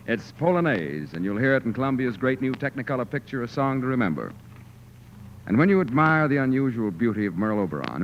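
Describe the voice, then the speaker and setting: An American man announcing on 1940s radio, reading an ad in a polished, salesmanlike style. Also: medium pitch. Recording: dull, faintly noisy 1940s radio recording.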